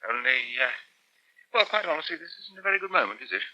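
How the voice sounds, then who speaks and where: A man's voice: fairly high pitch, lively intonation, fast, pushed and bright. An actor playing a proud, easily wounded English husband and father in a radio soap, with a clipped, fretful manner, on a poor old recording. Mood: resentful. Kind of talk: British radio soap acting.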